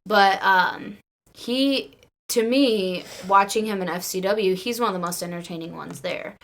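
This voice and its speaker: A woman's voice: medium pitch, unhurried, creaky, a little hiss or hum. A woman chatting casually and earnestly as a panelist on a wrestling podcast. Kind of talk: casual wrestling fan chat.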